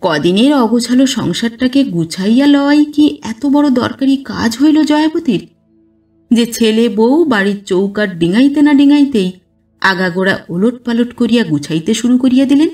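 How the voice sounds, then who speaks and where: A woman reading a literary story aloud as a narrator, with expressive, dramatized delivery. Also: fairly high pitch, moderate pace, recorded from a distance. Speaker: audiobook narrator. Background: background music.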